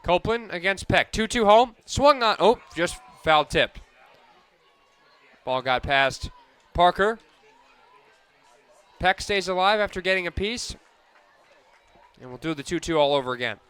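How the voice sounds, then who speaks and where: A man with a fairly high, bright voice calls softball play-by-play for radio. His delivery is crisp and clean, in the style of live sports commentary. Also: lively intonation, a little slow, pauses often, a faint crowd.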